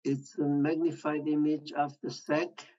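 A man giving an unrehearsed medical slide presentation, improvising his commentary in a halting way. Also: on the high side, level tone, dark tone. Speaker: conference presenter. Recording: dull, muffled lo-fi audio.

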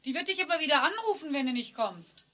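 An actress playing a matter-of-fact, doubting family member in a children's radio play. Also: female voice, high voice, sing-song, fast, sharp tone, exaggerated voice.

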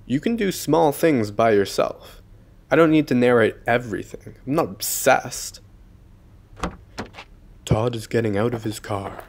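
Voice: mid-range voice, measured pace, vocal fry, pauses often, slight background noise. An actor playing a snarky, dramatic narrator in a radio play, exasperated and secretly lonely. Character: exasperated, lonely narrator who mocks the man he follows.

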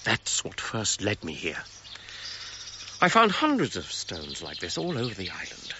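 An eager, self-assured archaeologist in a radio play. His bright voice swings animatedly as he explains with emphasis. The sound is a little muffled. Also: low-pitched, wildly swooping pitch, a little slow, full character voice. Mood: keen. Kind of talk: radio drama acting. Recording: muffled, faint outdoor ambience noise.

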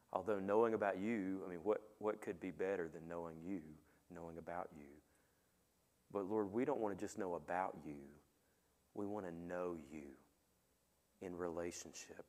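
Low-voiced pastor in a reverberant sanctuary. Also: male voice. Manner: conversational, asks the congregation questions; soft, quiet when praying. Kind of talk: sermon with opening prayer. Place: church sanctuary, indoors. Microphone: headset mic. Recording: long reverb of a church hall.

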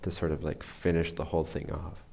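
Deep-voiced man guiding a meditation. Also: level tone, creaky, darkish tone, slight background noise. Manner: unhurried, searching for words. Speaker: meditation teacher. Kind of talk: guided meditation instruction.

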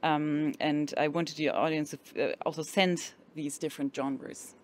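A woman's voice: low voice, steady tone, normal speed, no room sound. A woman interpreting at a festival interview, filling her speech with many ums and uhs as she searches for words. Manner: hesitant, full of fillers. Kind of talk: interpreting between Japanese and English. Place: small indoor room at a film festival.

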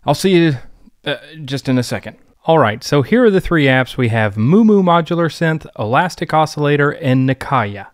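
Higher-pitched man hosting casual app reviews. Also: lively intonation, normal speed, no echo, studio quality. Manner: informal, conversational. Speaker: host of a synth app review show.

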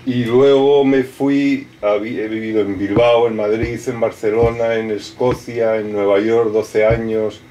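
A middle-aged man, a native French speaker, answers questions in a deep, unhurried voice, full of hesitations and restarts. The mic sits some way off, so the sound is distant, with steady room noise underneath. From raised in France. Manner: halting, many restarts and fillers. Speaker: artist. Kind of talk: interview answers. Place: cluttered studio with computer and speakers.

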